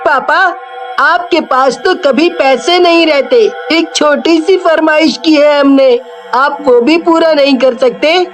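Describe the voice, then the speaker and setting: महिला की आवाज़ में बहुत पतली आवाज़ वाला छोटा लड़का. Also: female voice, high-pitched, takes it slowly, soft background music. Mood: indignant. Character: young village boy, protective, pleading son. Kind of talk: cartoon story dialogue.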